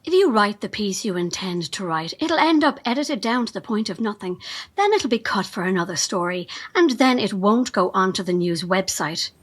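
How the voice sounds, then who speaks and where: Woman acting as husky, menacing cult devotee. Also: mid-range voice, animated, close to an everyday voice. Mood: calm menace. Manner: measured, veiled threats, reverent. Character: fanatical female cult assistant, calmly menacing, rapturously devoted. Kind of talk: audio drama dialogue.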